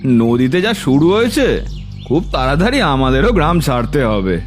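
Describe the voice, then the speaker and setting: Cartoon village tough, male actor: high voice, wildly swinging pitch, uneasy gossip, light music. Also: sing-song, moderate pace. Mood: wary. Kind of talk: animated ghost story acting.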